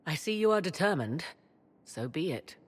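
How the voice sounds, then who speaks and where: A haughty fantasy game sorceress with a low, lively voice. She speaks in arch, formal phrases, proud and sardonic, holding her secrets close. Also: normal speed, slightly rough, exaggerated voice. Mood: skeptical. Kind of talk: dramatic fantasy video game acting.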